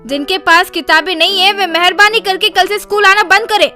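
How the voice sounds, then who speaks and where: A woman's voice: extremely high pitch, steady tone, brisk, bright, forceful tone, faint music behind. A woman voicing a stern, scolding village schoolteacher in a cartoon story. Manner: scolding, admonishing.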